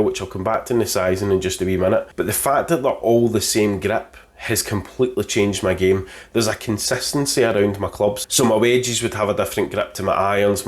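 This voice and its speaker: A man, stuffy with a cold, giving a cheeky, jokey golf gear review from his kitchen. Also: on the low side. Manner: cheeky, jokey, full of innuendo. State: congested with a cold. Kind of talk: casual product review to camera. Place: home kitchen.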